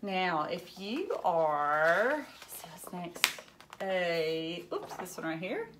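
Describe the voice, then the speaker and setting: A woman in her thirties reading a children's book aloud like a teacher, with expressive asides and questions to young listeners. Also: fairly low pitch, slow, slight background noise. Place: indoors, living room at home.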